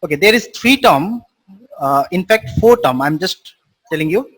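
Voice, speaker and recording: High-voiced, husky man teaching. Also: lively intonation, fairly bright tone. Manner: explains slowly with repeated simple definitions, quizzes students. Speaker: networking instructor. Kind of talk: live online class.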